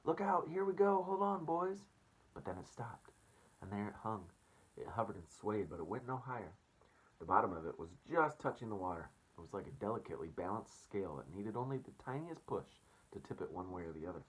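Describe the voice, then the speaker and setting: A man's voice: deep voice, animated, a little fast, breathy edge, rough recording. A man reading a storybook aloud as a teacher, expressively, and addressing children casually.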